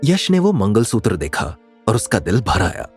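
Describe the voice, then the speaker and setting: A man's voice: lowish voice, wildly swooping pitch, normal speed, soft background music. A man narrating a scripted audio drama in a dramatic storytelling style.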